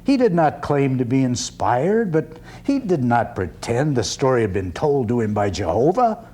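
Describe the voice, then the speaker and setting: A man performing a dramatic one-man stage reading as an earnest, skeptical 19th-century orator, with deliberate, emphatic phrasing and pauses. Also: medium pitch, very animated, warm tone. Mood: scornful.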